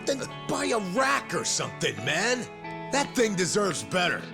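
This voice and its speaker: A man's voice: sits high, very animated, a little slow, vocal fry, music in the background, full character voice. An actor playing a scruffy, sardonic but loyal middle-aged man in a video game cutscene. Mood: wry, exasperated.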